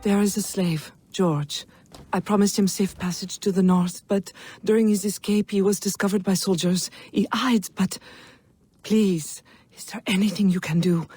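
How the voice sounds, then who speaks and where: Anxious pleading woman, played by an actress: mellow, husky, breathy, polite urgency, game drama, faint music. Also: medium pitch, fairly even intonation, normal speed, natural voice. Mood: urgent, pleading. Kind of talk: dramatic video game cutscene acting.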